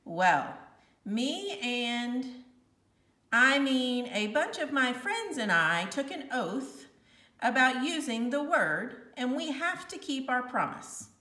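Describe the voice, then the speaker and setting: A woman's voice: high voice, very animated, slow pace, sharp tone, pitched above the performer's own voice, full character voice. A woman voicing an earnest, plucky fifth-grade schoolboy in a children's storybook, hesitant yet determined. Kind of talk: children's storybook read-aloud. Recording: echoey room, distant mic.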